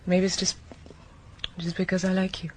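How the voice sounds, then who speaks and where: A woman plays a caring policewoman in a radio crime drama. Her voice is mellow and slightly low, her manner gentle and coaxing. Some background noise sits behind the dialogue. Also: monotone, normal speed, natural voice. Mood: concerned, coaxing. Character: caring, concerned policewoman, loyal to her colleague.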